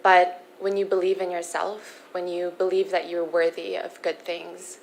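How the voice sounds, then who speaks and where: A young woman from the Philippines, a pageant contestant answering interview questions in a poised, earnest, measured way. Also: lowish voice, level tone, vocal fry, slight background noise, poor audio. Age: young adult.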